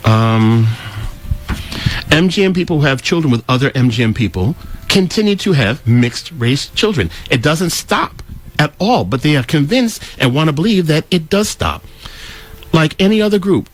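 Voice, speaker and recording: A man's voice: on the high side, expressive, moderate pace. A man giving an opinionated, explanatory talk with anecdotes in an emphatic way, acting out remarks he quotes.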